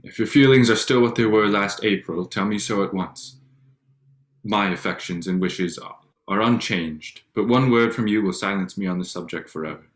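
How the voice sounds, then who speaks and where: A reserved Regency gentleman suitor acted by a man, with a deep, low voice. He is formal and proud, shyly earnest underneath, and a little halting as he reads the period romance. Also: lightly acted. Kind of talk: staged reading of a period drama.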